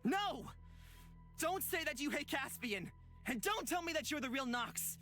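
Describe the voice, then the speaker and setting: A woman voicing an earnest young protagonist in a visual novel game, speaking in deliberate, dramatic phrases. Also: sits high, lively intonation, fairly bright tone. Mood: desperate, pleading. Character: earnest young protagonist pleading with a possessed friend. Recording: music behind the voice.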